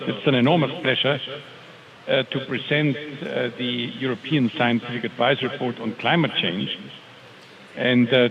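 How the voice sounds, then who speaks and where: Hesitant man presenting over crowd noise. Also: medium pitch. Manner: deliberate, hesitant, often asking for the next slide. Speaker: board chair presenting. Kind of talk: conference presentation. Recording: muffled sound with crowd noise.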